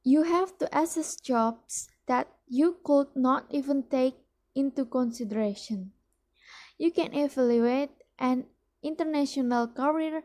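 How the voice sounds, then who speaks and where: Young Indonesian woman, Indonesian accent, slow careful read, stumbles and repeats words. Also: high voice, dark tone. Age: young adult. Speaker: student presenting a mock business ad. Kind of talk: read-aloud promotional speech.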